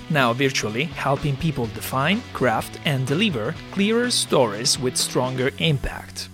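Man, podcast host, lively pitch, faint guitar music. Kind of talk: scripted intro and interview questions.

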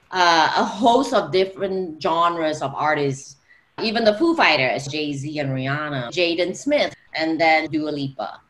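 Low-pitched woman from singapore, music exec on a panel, loose filler-heavy chat. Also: fairly bright tone. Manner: chatty, filler-heavy with "like" and "you know". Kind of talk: casual panel talk.

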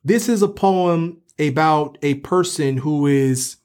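A man's voice: on the high side, slow pace, darkish tone, clear, resonant tone. A man speaking as a professor and co-host, explaining literature in a scholarly way and often posing rhetorical questions.

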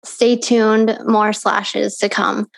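A woman hosts an interview in a casual, upbeat way. Her voice has a gravelly, creaky edge. She tosses in quick asides between her questions. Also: mid-range voice, little pitch movement. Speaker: interviewer, host.

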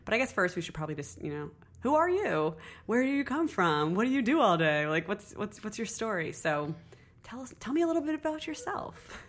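A woman's voice: mid-range voice, sing-song, a little fast. A woman hosting a casual podcast interview, upbeat and curious, laughing often and asking lots of questions. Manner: enthusiastic, laughs often, asks many questions. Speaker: podcast host.

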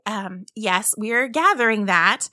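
Protective mother, played by a woman reader: bright, rattled, children's adventure story, dry sound. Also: medium pitch, animated, no room sound. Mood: stunned, flustered. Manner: urgent when warning, flustered and halting when polite.

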